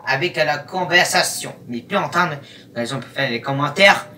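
A young man giving casual reaction commentary in a halting, rambling, disjointed way. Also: a little high, sharp tone. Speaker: YouTube host. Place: small room at home, indoors. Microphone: webcam at arm's length or more. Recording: noisy webcam, distant from the mic.

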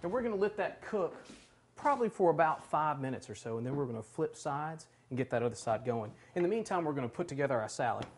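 A man's voice: a little high, big pitch swings, quick pace, slight background noise. A man in his forties hosting a home cooking show, talking casually and enthusiastically while he works in a large kitchen. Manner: chatty, enthusiastic, talks while working.

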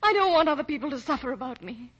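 An actress playing a refined, emotional young woman in love, breathy-voiced, in a 1940s radio melodrama. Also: high voice, expressive, quick pace, fairly bright tone. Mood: anguished. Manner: emotional, genteel. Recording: dull old 1940s radio recording.

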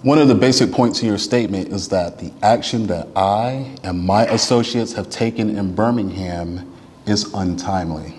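A man's voice: lowish voice, normal speed, darkish tone. Man reading formally in a reverberant hall. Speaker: reader at a church event. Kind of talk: formal read-aloud of a written letter, oratorical. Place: large church interior.

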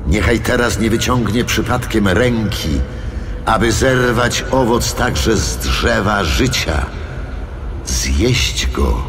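Wrathful divine judge, solemn, commanding, cavernous long reverb, loud music behind, scripture drama. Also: male voice, lowish voice, expressive, slow.

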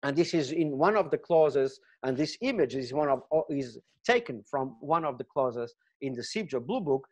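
A man's voice: mid-range voice, expressive, normal speed, hardly any pauses, a little mellow. A middle-aged man with a Portuguese accent, a Portuguese native speaker giving an earnest webinar lecture over a webcam, with many fillers and restarts. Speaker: gemology lecturer.

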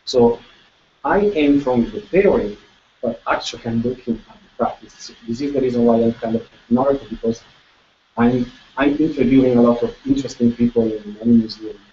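Italian man, middle-aged, giving a halting talk, heard from across an echoey room. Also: mid-range voice, mellow, slight background noise. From Italy. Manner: halting, many restarts and repeated words, gesturing. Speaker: guest researcher presenting. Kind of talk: lecture presentation. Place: lecture room with projector screen. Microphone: far room camera. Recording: echoey room, distant and dull.

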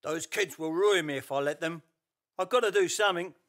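A boastful, malevolent ghost played by a man schemes and rages in a radio play. The voice is high and theatrical, full of gloating menace. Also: brisk, a bit bright. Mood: worried, scheming. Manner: theatrical, gloating, alliterative ranting.

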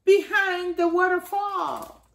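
Woman voicing a playful high-pitched little bear cub. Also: slow pace, gravelly, full character voice. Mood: playful, eager. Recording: roomy home echo.

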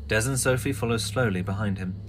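A man's voice: on the low side, quick pace, background noise. A man reading scripted narration for an audio drama.

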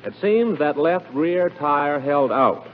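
A man's voice: fairly high pitch, expressive, takes it slowly. An American man announcing in scripted 1940s radio style, with polished, emphatic delivery. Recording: tinny 1940s radio, faint noise.

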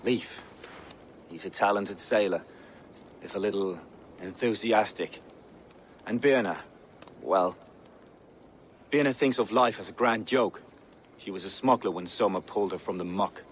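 A man voicing a devout, haunted Viking warrior in a video game, speaking gravely and with measured, mystical weight. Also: sits low, a little hiss or hum, natural voice. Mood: stern.

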